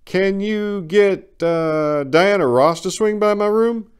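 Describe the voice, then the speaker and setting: A man's voice: high voice, takes it slowly, smooth, pitched above the performer's own voice. A man voicing a big middle-aged Vietnam veteran in a dramatic story reading, plain-spoken, confessional and sardonic, haunted but dryly wry. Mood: wry, teasing. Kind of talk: first-person dramatic short-story reading.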